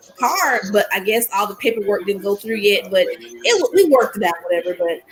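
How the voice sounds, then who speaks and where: A woman's voice: mid-range voice, expressive, fairly quick, low-quality recording. A woman from Texas in a casual group chat, rambling through a story with lots of fillers.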